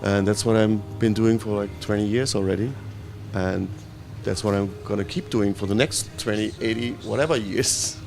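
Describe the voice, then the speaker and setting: A man who grew up in Germany, a painter speaking in a casual interview, halting and searching for words, often checking with a quick "right?". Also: lowish voice, fairly even intonation, creaky, darkish tone, a little hiss or hum.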